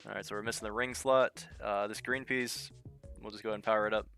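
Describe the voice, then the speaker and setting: A man's voice: fairly low pitch, fairly even intonation, fast, vocal fry, pushed and bright. A young man with a head cold giving casual commentary on a mobile game, thinking aloud as he reads out skill text. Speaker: gaming channel host. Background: game music.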